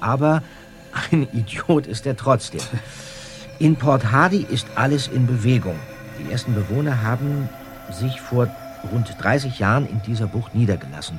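An actor playing an easygoing, bookish teenage boy detective in an audio drama, with a halting, wondering delivery. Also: lowish voice. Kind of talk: German audio drama acting.

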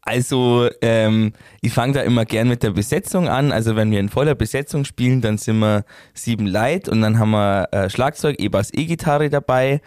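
A young man with a Bavarian accent, the songwriter and guitarist of a band, answering interview questions in a relaxed, rambling way full of fillers. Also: mid-range voice, normal speed, no room sound, studio quality.

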